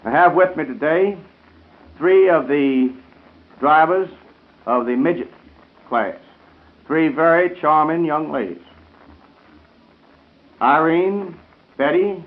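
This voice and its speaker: Male guest speaker, formal and earnest, mid-range pitch, semi-scripted radio talk on a muffled vintage 1930s broadcast recording. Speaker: guest speaker promoting a boat regatta. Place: radio studio. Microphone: studio broadcast microphone.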